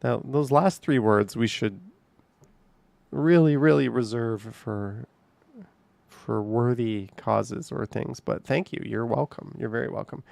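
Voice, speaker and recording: A man talks casually to his chat from home, rambling and laughing easily. His voice has a gravelly edge, and the sound is dry and close with no room echo. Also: mid-range voice, slow pace, darkish tone. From Canada. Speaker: livestream host reading chat.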